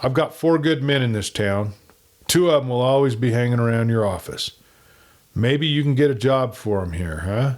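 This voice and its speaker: Man acting a hard, steady western lawman. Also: medium pitch, normal speed, close to an everyday voice.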